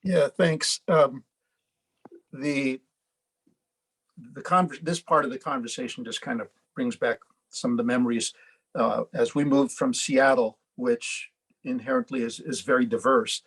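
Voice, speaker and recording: A man on a community panel speaking reflectively, pausing and restarting as he thinks. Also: mid-range voice, fairly even intonation, slightly rough, poor audio. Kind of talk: panel discussion over video call.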